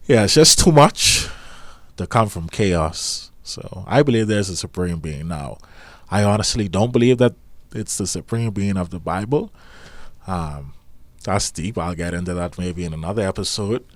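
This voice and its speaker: A young man with a nasal voice co-hosting a podcast, talking in a calm, laid-back way with many pauses. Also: deep voice, dry recording. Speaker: podcast co-host. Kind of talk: casual chat.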